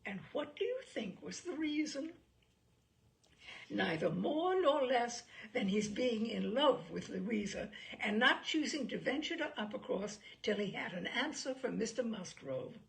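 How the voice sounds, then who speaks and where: A woman reading a classic novel aloud, voicing a self-pitying, gossipy young married gentlewoman with an animated, complaining manner. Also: fairly high pitch, a little slow, creaky. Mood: gossipy, excited.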